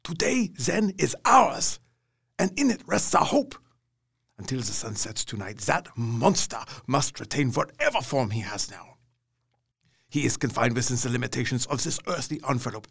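An earnest, learned older professor, played by a man in a radio drama. He reasons step by step in slightly broken English, in a gravelly, husky voice with a lively rise and fall. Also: mid-range voice, normal speed, full character voice. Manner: earnest, reasoning, foreign grammar.

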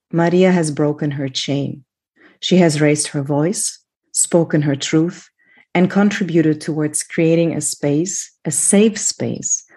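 A woman with a low voice hosts a podcast interview. Her tone is husky and her phrasing is earnest and deliberate, as in a prepared introduction to a serious conversation. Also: dark tone. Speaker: podcast host.

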